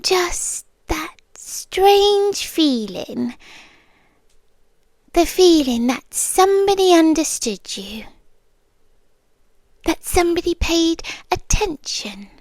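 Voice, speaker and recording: A British woman playing a possessive, menacing yandere girlfriend in an ASMR roleplay, soft-spoken and deliberate, often slipping into whispers, sweetly condescending. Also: very high-pitched, lively intonation, no echo, heavily acted. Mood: creepily tender. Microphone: close, dry microphone.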